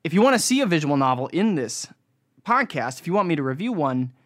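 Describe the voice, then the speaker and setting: A man's voice: fairly high pitch, very animated, a little fast, fairly bright tone. A young American man hosting a podcast, giving a casual, rambling, self-deprecating solo review in informal language. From United States. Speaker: podcast host.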